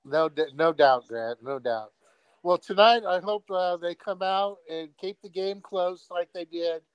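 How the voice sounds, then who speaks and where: A man calling in to a sports show, talking haltingly with lots of fillers and repeated words. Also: sits high, clear, resonant tone, no room sound, dull audio. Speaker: caller. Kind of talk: phone-in chat.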